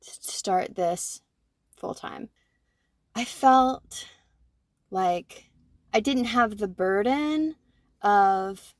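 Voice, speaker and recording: A woman in her forties, co-hosting a podcast, talking through her own thoughts in an animated way and often checking in with "right?". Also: medium pitch, drawn-out words, pauses often, no room sound, studio quality. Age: 40s. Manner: animated, self-reflective, fond of tag questions like "right?". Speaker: podcast co-host. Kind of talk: casual conversation.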